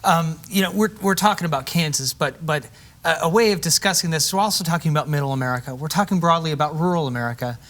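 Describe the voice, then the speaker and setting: Slightly high-voiced male interviewer. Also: quick pace, fairly bright tone. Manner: probing, searching for phrasing. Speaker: talk show host. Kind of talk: political interview questions.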